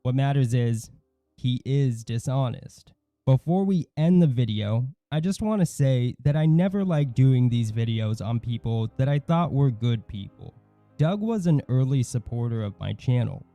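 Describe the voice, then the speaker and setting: Man narrating, very dark mellow voice, dry. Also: medium pitch, dry recording. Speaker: commentary video host. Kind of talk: scripted narration commentary.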